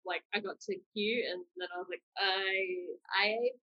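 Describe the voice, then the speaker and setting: A woman's voice: mid-range voice, fairly even intonation, normal speed, highs cut off. A young adult woman with a New Zealand accent, chatting informally and self-deprecatingly as a podcast host. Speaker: podcast host, university student. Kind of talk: casual chat.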